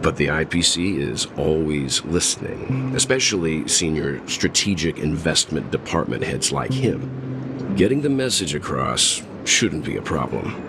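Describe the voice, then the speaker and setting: A man playing a calm, wise older gentleman in a game cutscene, speaking in a measured, reassuring way. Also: rumbling low, background noise, heavily acted. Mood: composed. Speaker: game character. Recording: game score playing loudly underneath.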